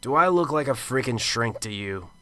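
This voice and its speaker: A man playing a sarcastic, gruff detective. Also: mid-range voice, lively intonation, normal speed, pushed and bright, dry recording. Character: cynical sarcastic adult male Chicago detective. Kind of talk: amateur radio drama acting. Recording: radio drama with music underneath.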